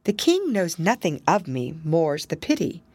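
A woman plays a little old godmother from a fairy tale. She speaks with calm, knowing solemnity and gentle mystery, in a lively melodic line recorded close and dry. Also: mid-range voice, a little slow, no room sound. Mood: indifferent. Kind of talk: storybook character voice.

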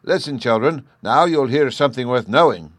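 A man voicing a kindly, jolly father who chats loudly and fondly in a storybook reading. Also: mid-range voice, lively intonation, moderate pace, dry recording, pitched above the performer's own voice, lightly acted. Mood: admiring. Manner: hearty, loud, fond.

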